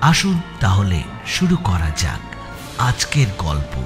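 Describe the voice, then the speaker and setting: A man narrates a scripted suspense story in a measured storytelling manner. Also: low-pitched, huge pitch swings, over music. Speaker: audio story narrator and channel presenter.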